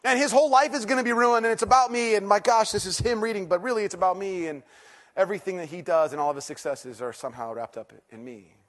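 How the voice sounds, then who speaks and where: A middle-aged male pastor preaching to his congregation in a slightly high voice. He is casual and self-mocking one moment, then intense and almost hushed the next, building to loud bursts. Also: quick pace. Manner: animated, swings from loud excitement to quiet intensity; self-deprecating, conversational. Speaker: teaching pastor. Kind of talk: sermon. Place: church service before a congregation.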